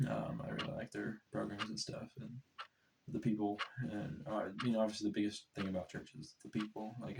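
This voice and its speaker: Stuffed-up young man rambling, gravelly low voice. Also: recorded from a distance, background noise. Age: young adult. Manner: rambling, many restarts and fillers. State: stuffed up with a cold. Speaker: vlogger. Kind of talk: casual vlog.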